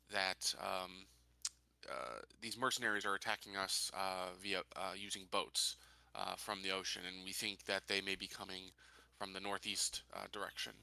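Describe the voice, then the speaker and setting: A man playing an earnest, dutiful colony official in a tabletop game, reporting in a formal, halting, businesslike way. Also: low-pitched, gravelly, pushed and bright, no echo, heavily acted.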